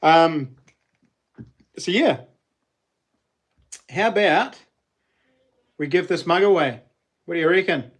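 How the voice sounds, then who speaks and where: A man's voice: fairly high pitch, expressive, slow, pauses often. A middle-aged man with a New Zealand accent, hosting a casual livestream and chatting with viewers in a rambling, slangy way with frequent laughs. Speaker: livestream host.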